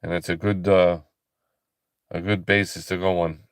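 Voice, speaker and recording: Tired, deep-voiced man, slow and calm. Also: clear, resonant tone, no echo, studio quality. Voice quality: calm relaxing voice. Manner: slow, halting, soft-spoken, many fillers and restarts. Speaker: ministry livestream host. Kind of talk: casual late-night livestream chat with viewers.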